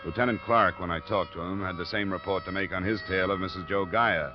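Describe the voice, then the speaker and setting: Man acting a low-voiced, laconic radio detective. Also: steady tone, fast talker, over music, lightly acted. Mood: dry, narrating. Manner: dry, matter-of-fact, understated. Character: laconic, steady male insurance investigator narrating his case. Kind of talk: 1950s radio detective narration and dialogue. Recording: muffled old radio broadcast recording.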